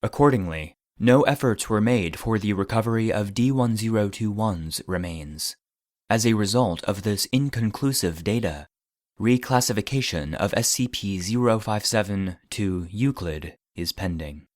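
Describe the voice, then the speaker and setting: An actor voices an impassive male database narrator for an audio drama. He reads formally and with detachment in a lowish voice, recorded very dry. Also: lively intonation, moderate pace, dry recording, natural voice. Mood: detached. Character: impassive male database voice reading incident logs. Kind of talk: scripted narration.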